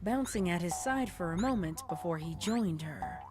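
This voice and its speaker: Low-pitched woman narrating a story. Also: lively intonation, normal speed, over music.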